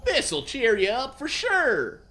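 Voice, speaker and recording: A man voicing a caring female cartoon squirrel in a drawn-out, coaxing way. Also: very high-pitched, wildly swooping pitch, pushed and bright, slight background noise, voice pushed up, full character voice. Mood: consoling.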